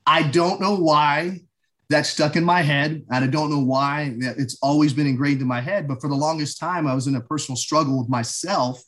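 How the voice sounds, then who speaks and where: A man's voice: a little high, steady tone, normal speed, fairly bright tone. A man in his forties from the United States, talking reflectively as an interview guest, with many "you know" fillers. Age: 40s. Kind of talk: casual interview conversation.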